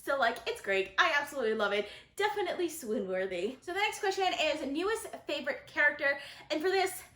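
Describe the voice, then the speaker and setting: A woman with a high-pitched voice talks casually to her viewers in a roomy, echoey space. She reviews books in a gushing, enthusiastic way and puts strong emphasis on her opinions. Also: lively intonation, quick pace, sharp tone. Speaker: book reviewer, video host. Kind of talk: casual book-tag vlog talk to viewers. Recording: roomy echo.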